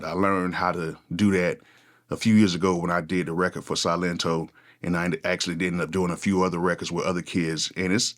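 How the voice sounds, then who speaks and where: A man's voice: low voice, fairly bright tone. A man in his forties, a music producer talking casually to camera, with a loose, conversational delivery. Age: 40s. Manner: casual, conversational, repeats "go ahead" often. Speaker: music producer, channel host. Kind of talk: unscripted one-take talk to camera.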